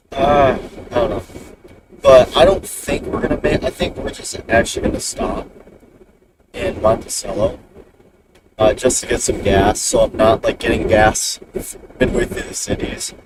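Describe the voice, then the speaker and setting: A man's voice: medium pitch, animated, a little slow, long pauses. Man rambling while driving, road noise. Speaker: storm chaser streaming live. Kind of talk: casual driving commentary. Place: inside a car on the road. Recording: distant in-car mic with road noise.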